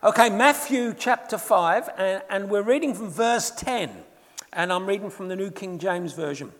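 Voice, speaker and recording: A man's voice: lively intonation, moderate pace, fairly bright tone. A middle-aged man with an English accent, preaching earnestly to a church congregation as a guest speaker. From England. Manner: earnest, rises in pitch and volume when reading scripture. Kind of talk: sermon. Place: church hall. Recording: long hall reverb in a church.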